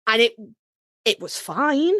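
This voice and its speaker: A woman with a Yorkshire accent and a fairly high voice that swings widely in pitch. She talks like an opinionated podcast co-host, blunt and sweary, leaning hard on words for drama. The sound is dry and close. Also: sing-song, slow, bright, forceful tone, no echo. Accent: Yorkshire English. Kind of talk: casual opinionated fan chat, ranked countdown.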